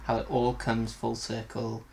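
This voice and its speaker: Casual male podcast reviewer, enthusiastic, mid-pitched with a gravelly creak, some background noise. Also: completely flat delivery, measured pace, dark tone. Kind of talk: casual chat reviewing an audio drama.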